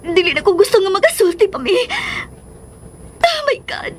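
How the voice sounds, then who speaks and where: A woman's voice: very high voice, sing-song, moderate pace, slight background noise. A woman with a Filipino Cebuano accent playing a torn, anguished mother in an emotional, melodramatic radio romance drama. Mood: distraught. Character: torn, anguished mother resisting a former lover.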